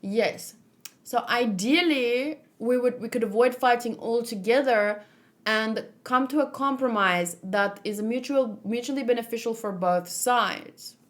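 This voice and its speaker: A woman hosts a read-along in a casual, informal way. She thinks out loud as she talks, often feeling around for the right word. Her commentary is chatty and unscripted. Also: on the high side, a little slow. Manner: thinking aloud, searching for words. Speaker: host of a manga read-along.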